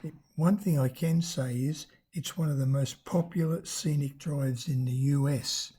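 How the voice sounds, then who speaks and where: An older travel vlogger with a raspy voice, narrating a road trip in a chatty, unhurried way, pausing now and then to search for words. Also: mid-range voice, fairly even intonation, warm tone. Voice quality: raspy from damaged vocal cords.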